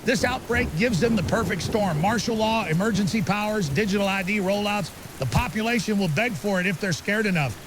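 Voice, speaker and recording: A man's voice: high-pitched, brisk, close to an everyday voice. A man playing a grim, urgent conspiracy theorist as a guest on an apocalypse podcast drama, emphatic and ominous in clipped, certain statements. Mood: grim, insistent. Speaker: interview guest. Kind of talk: fictional apocalypse podcast interview. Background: rain and faint hiss.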